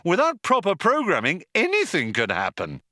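A man's voice: sits high, huge pitch swings, moderate pace, pushed and bright, no room sound, clean studio sound, voice pushed up, exaggerated voice. A brash spaceship captain from a comic audiobook, as a male reader acts him out. He is hot-tempered and impatient, bawling in a raised, wildly swinging voice. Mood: alarmed, scornful.

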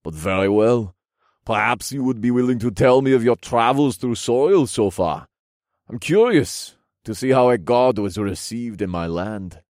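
A man voicing a regal, genial fantasy king with formal, measured phrasing in an audiobook. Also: mid-range voice, expressive, darkish tone, no room sound, studio quality, voice pushed up, lightly acted. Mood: curious, stately.

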